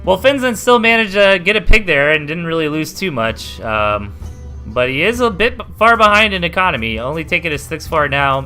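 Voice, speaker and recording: A man's voice: a little high, sing-song, a little fast, pushed and bright, faint music behind. A man hosting a live esports replay cast, giving chatty play-by-play with lots of fillers. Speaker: host caster. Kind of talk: live esports game commentary.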